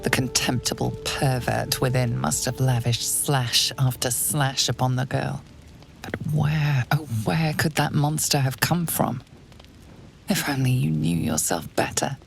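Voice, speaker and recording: Dark fantasy game narrator: slow, very deep female voice, ominous and deliberate, music underneath. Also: creaky, heavily acted. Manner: deliberate, ominous, literary phrasing. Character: dark, brooding narrator of a grim fantasy tale, woman. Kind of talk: scripted dramatic second-person game narration. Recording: music behind the voice.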